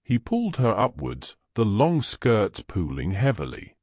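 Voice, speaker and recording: A man narrates a fictional tale in a fairly low voice. His delivery rises and falls freely, and the sound is dry and close. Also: wildly swooping pitch, unhurried, a little mellow, no room sound. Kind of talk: scripted audio fiction narration.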